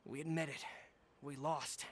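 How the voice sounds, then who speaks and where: Bitter thug, high for a man. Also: little pitch movement, measured pace. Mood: grudging. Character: bitter, defeated male street thug with a grudge. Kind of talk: scripted video game dialogue.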